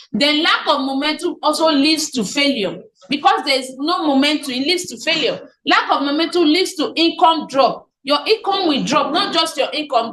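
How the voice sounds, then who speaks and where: High-voiced Nigerian woman coaching her team. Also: a bit bright. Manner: repetitive, asks and answers own questions. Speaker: team leader. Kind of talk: motivational business training. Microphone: online meeting call. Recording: echoey room on a call.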